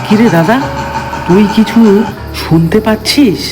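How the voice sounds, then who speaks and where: A man's voice: sits high, a little slow, over loud music. A man voicing a nervous younger village cousin in a horror cartoon. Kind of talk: Bengali horror cartoon dialogue.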